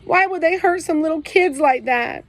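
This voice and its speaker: A heartbroken young boy , as a woman reader puts him on, cries through every line, his voice pitched high and choked. His words tumble out pleading and trembling. It is read as a storybook audiobook. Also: lively intonation, normal speed. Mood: tearful pleading. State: crying. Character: tearful ten-year-old boy, sensitive and ashamed.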